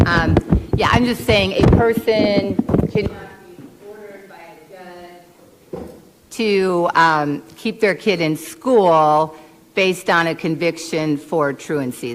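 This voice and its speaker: Low-voiced woman questioning in an echoing hall. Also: a little hiss or hum. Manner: precise, questioning, legalistic. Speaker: hearing participant discussing law. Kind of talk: formal hearing discussion. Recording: long reverb of a large hall.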